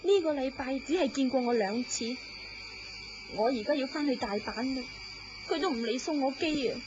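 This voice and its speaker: An actress playing an affectionate married woman in a wistful secret romance, coy and expressive in Cantonese radio drama style. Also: high-pitched, moderate pace, lightly acted. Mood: sad, aggrieved. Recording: dull lo-fi audio, loud background noise.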